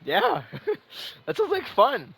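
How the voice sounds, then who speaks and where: A man's voice: extremely high pitch, wildly swooping pitch, extremely slow, vocal fry, background noise. A young man giving casual, informal, reactive commentary as he plays through a video game. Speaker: game streamer. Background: faint game music.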